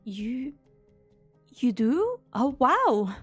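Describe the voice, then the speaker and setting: An earnest young female researcher, voiced by a woman, with a very high voice. She sounds anxious and expressive, sometimes hesitant, in game drama acting over faint music. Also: very slow, slightly rough, heavily acted. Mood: relieved. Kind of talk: English fan dub of a game story.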